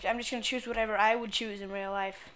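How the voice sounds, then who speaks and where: A woman's voice: mid-range voice, level tone, quick pace, fairly bright tone. A woman gamer giving casual commentary on a playthrough in a crude, sweary, playful way.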